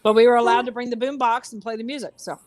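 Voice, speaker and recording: A woman co-hosting a live stream, chiming in with quick encouraging asides. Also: fairly high pitch, steady tone, no room sound. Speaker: co-host.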